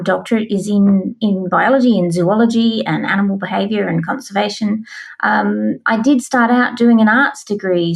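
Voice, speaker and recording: A woman's voice: medium pitch, creaky, rough recording. A woman answering as an interview guest in a thoughtful, explanatory, conversational manner. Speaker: interview guest, science and natural history writer.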